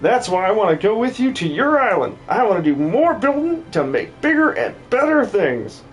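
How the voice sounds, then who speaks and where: A man acts out a young farm girl from a video game, sweet and bubbly. The voice is high and very animated, with faint music behind it. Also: moderate pace. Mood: cheerful, determined.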